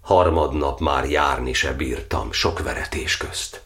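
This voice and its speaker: Jailed cardinal telling his ordeal in verse, grim, low voice, dramatic radio play style. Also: a bit bright. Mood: bitter, weary. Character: tormented imprisoned cardinal recounting his torture, grim, enduring.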